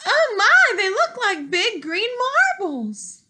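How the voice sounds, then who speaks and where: A woman voicing an eager little girl in a storybook, high and exclaiming. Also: very animated, slow, pushed and bright, full character voice. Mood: amazed.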